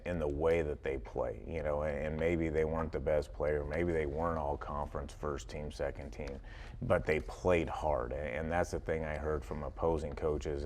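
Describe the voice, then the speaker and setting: An American man with a deep, low-pitched voice speaks as a football coach in an interview. His delivery is conversational and folksy, and he often circles back to repeat a phrase. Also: a little mellow.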